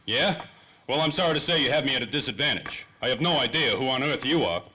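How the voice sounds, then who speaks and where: A man's voice: mid-range voice, lively intonation, fast, bright, forceful tone, rough recording. A man playing a tough, wisecracking private detective with brash, slangy delivery in 1930s radio play style. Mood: brash, impatient.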